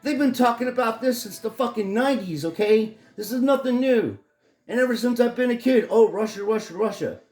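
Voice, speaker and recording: A middle-aged man with a hoarse voice, ranting angrily and profanely in a rambling home vlog. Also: very high voice, brisk, darkish tone, roomy sound, soft background music. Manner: angry, profane, rambling rant. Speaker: vlogger. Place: his home in Florida.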